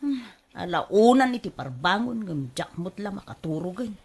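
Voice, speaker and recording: A woman's voice: medium pitch, sing-song, normal speed, a little mellow, slight background noise. A woman with an Ilocano accent playing a covetous, scheming wife in a radio drama, exclaiming, wheedling and sighing. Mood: restless, fretful. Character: covetous, scheming wife craving a car of her own.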